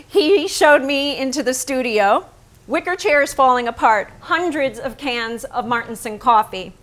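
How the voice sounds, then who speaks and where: Woman painter, stage drama, smitten, frank, intimate, dramatic, very unhurried pace. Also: high-pitched, expressive, a bit bright, full character voice. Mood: smitten, recollecting. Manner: deliberate, intimate, dramatic delivery. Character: Lee Krasner, frank, smitten thirty-something woman painter. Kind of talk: theatrical staged reading, monologue and dialogue.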